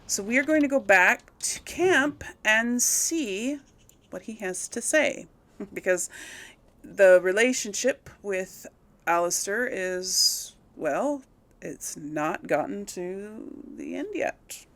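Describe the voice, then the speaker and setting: A woman's voice: mid-range voice, lively intonation, takes it slowly, a bit bright. A woman hosting a gameplay video in casual commentary, rambling and thinking aloud as she repeats herself.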